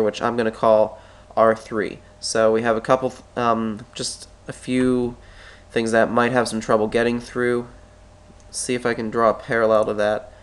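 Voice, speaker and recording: A man with a stuffy head cold walks through a stock chart tutorial, thinking aloud and often correcting himself as he draws. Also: medium pitch. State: stuffy with a cold, clearing his throat. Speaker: stock market analyst. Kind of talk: screen-recorded chart tutorial.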